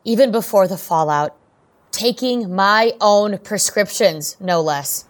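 Woman acting stern middle aged mom in audio drama, slow talker, firm but hurt. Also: medium pitch, natural voice. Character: stern, hurt mother confronting her daughter.

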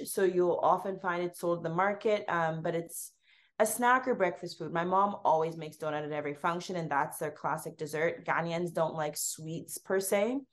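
A woman with a Canadian accent, a food blogger chatting as an interview guest, rambling with ums. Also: fairly low pitch. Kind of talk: casual interview chat.

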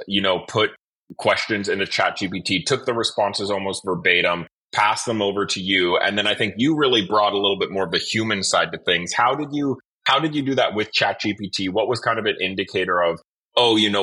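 A man's voice: low-pitched, bright, forceful tone, slightly rough, poor audio. A man hosting a podcast, interviewing in a conversational way.

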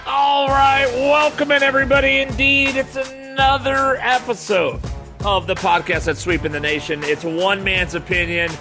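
A man, hosting his solo podcast in a loose, rambling monologue with frequent restarts and sales pitches. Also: fairly even intonation, normal speed, over music. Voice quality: nasal. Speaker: podcast host.

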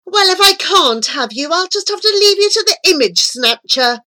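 A woman's voice: fairly low pitch, animated, extremely slow, darkish tone, slightly rough, exaggerated voice. A woman voicing a commanding false angel in a storybook, a villainess whose kindness covers a vicious temper. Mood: screeching fury. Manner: theatrical, commanding. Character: false angel villainess, seductively kind, turning viciously furious.

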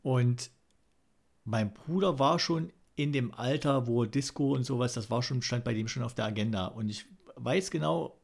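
A man's voice: mid-range voice, normal speed, creaky. A man in his forties from East Germany telling anecdotes in casual, colloquial podcast talk, with a wry touch. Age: 40s. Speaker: podcast co-host.

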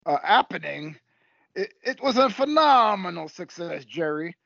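A man playing an excitable, bumbling Canadian wrestling sidekick in a comedic audio play, stammering and repeating his words. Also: sits high, unhurried, creaky, no echo, lo-fi sound, exaggerated voice. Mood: flustered, enthused.

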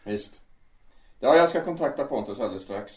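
A man in an audio drama playing a bitter understudy singer who calmly lays out his revenge, sometimes rising into fervent outbursts. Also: mid-range voice, natural voice. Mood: menacing calm. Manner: cold and deliberate, swelling into fervent outbursts. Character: bitter vengeful male understudy, calm and menacing. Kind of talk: amateur audio drama acting. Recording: roomy, distant mic.

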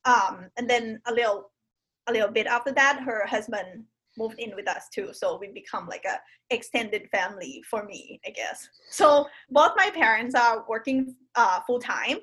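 Podcast guest: woman from Thailand, fairly high voice, casual and hesitant with lots of fillers. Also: normal speed, fairly bright tone, slightly rough, rough recording. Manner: hesitant, many fillers and restarts. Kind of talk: casual conversation.

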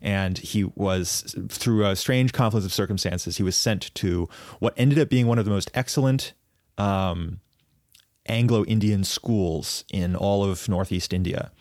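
Low-voiced man explaining history, halting. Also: a little mellow, dry recording, clean studio sound. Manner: thoughtful, halting, many fillers and restarts. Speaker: podcast co-host. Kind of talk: casual podcast discussion, explaining history at length.

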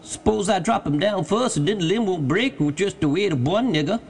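High, exclaiming rustic servant. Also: male voice, lively intonation, brisk, breathy edge, heavily acted. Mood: plaintive. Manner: exclamatory calling in broken rustic dialect. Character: eager, fearful male servant calling down from a treetop. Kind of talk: dramatized audiobook character.

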